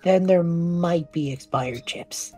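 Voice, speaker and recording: A woman's voice: on the low side, takes it slowly, mellow, soft background music. A woman voicing an easygoing, unworried young male time traveler in a conversational audio drama. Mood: playful, casual. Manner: breezy, reassuring, unworried.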